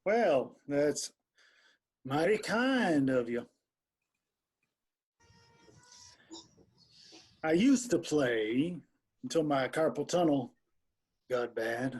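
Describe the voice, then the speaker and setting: A man's voice: fairly high pitch, expressive, slow, a little mellow, husky, heavily acted. A man playing a sleazy band manager in his mid-sixties, with a folksy drawl, in a screenplay table read. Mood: folksy, sly. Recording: remote virtual table read.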